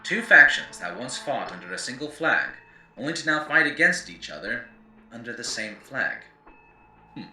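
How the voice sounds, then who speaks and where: An actor playing a grave, eloquent chronicler of a ruined world, narrating lore in formal, theatrical oratory for an audio drama. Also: male voice, mid-range voice, big pitch swings, moderate pace, pushed and bright, echoey room, recorded from a distance, faint music behind, low-quality recording. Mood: grave, dramatic. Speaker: narrator and host. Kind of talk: scripted in-character lore narration for an audio series.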